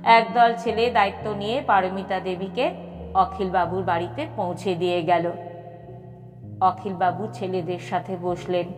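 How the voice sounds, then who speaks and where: A woman reads a scripted story at a very unhurried pace. Her delivery is expressive, with dramatic pauses. Long reverb surrounds the voice and music plays behind it. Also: fairly low pitch. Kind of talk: scripted audio story narration. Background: background music.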